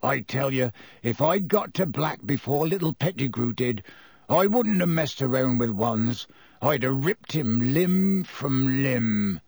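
A man voicing a gruff, hot-tempered big man in an audiobook, blunt and threatening, with a growl. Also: a little high, expressive, measured pace, no room sound, studio quality, heavily acted. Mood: vengeful growling.

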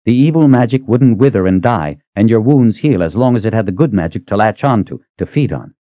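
A big gruff coachman in middle age, weary and patient, speaking in a low voice with plenty of lift and fall, as a male narrator plays him. The sound is dry and slightly muffled. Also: lively intonation, a little fast, no room sound, close to an everyday voice.

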